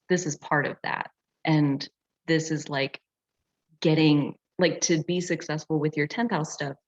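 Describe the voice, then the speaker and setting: A woman teaching in a casual, reflective way on an online group call, often saying "like" as she explains. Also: sits low. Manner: reflective, frequent "like" filler. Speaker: astrology teacher and session facilitator. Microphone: video call. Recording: dull, lo-fi video call audio.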